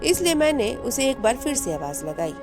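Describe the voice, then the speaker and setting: A woman telling a first-person horror story, dramatic, rising with suspense and dropping to a hush in tense moments. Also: on the high side, very animated, normal speed. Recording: music bed under the voice.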